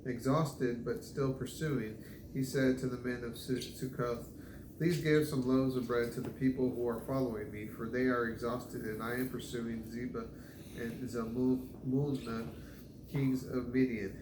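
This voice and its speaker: A man reads scripture aloud at an ordinary middle pitch. His delivery is halting, with stumbles over difficult names. The recording is echoey, with clear noise behind him. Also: little pitch movement. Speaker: scripture reader. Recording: echoey room with background noise.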